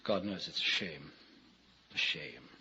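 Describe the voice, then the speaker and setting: Brooding, dissolute gentleman, bright forceful voice, theatrical and halting, on a dull old radio drama recording. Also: low-pitched, slight background noise, exaggerated voice. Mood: subdued, self-reproachful.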